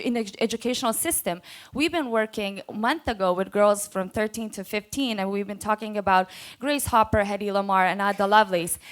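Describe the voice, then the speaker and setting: Bosnian-accented woman speaking off the cuff. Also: mid-range voice. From Bosnia and Herzegovina. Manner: earnest, off the cuff, many filler pauses. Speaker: panelist. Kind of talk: conference workshop talk. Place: conference room.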